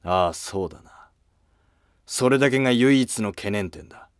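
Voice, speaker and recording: A man's voice: mid-range voice, moderate pace, smooth, dry recording, clean studio sound, close to an everyday voice. A man playing an ambitious, scheming Heian courtier with formal, calculating period speech. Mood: plotting.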